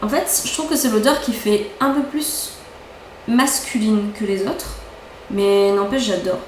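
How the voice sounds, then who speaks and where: A woman's voice: mid-range voice, unhurried, slight background noise. A young woman chatting casually and enthusiastically about her beauty haul, often repeating words for emphasis. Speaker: beauty vlogger. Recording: echoey room, faint ambience.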